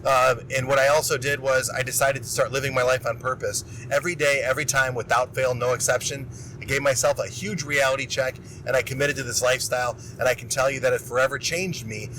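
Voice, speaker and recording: A man from the Midwest talks to his listeners in a loose, rambling, upbeat way, his voice worn and hoarse from too much speaking. There is a faint hum behind him, at an everyday middle pitch. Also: fast, poor audio. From Illinois. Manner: energetic, rambling, self-interrupting. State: losing his voice. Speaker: podcast host. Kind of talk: casual motivational monologue.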